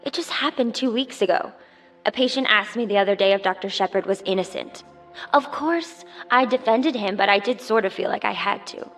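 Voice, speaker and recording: An actress playing a chatty, suspicious 1950s hospital nurse trading gossip in an audio drama. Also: female voice, medium pitch, a little fast, a bit bright, faint music behind. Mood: gossipy. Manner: chatty, insinuating.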